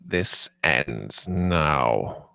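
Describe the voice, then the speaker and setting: A man's voice: rumbling low, animated, very slow, gravelly, pushed and bright, clean tone, no room sound, clean studio sound, heavily acted. A man playing a cold, imperious crime boss giving orders at a script table read. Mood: cold anger. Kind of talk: table-read character acting.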